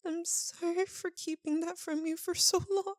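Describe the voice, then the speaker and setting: A woman playing an anguished, tender, guilt-ridden vampire lover in an ASMR roleplay, speaking in a halting, intimate, pleading way. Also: very high voice, level tone, no echo, clean studio sound. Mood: hesitant, sorrowful.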